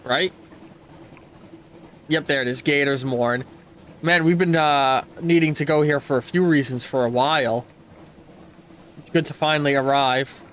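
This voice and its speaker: A man's voice: medium pitch, fairly even intonation, measured pace, long pauses, fairly bright tone, slight background noise. A man with a stuffy nose streaming a game, reading its text aloud and stumbling over words, with bursts of playful trash talk. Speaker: let's play streamer. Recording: faint game music behind the voice.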